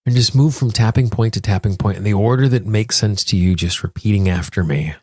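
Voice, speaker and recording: A man's voice: fairly low pitch, fairly quick, a little mellow, husky, no echo, clean studio sound. A man speaking as a podcast host and coach, explaining and instructing the listener directly in a conversational self-help style. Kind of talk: conversational self-help talk and guided tapping exercise.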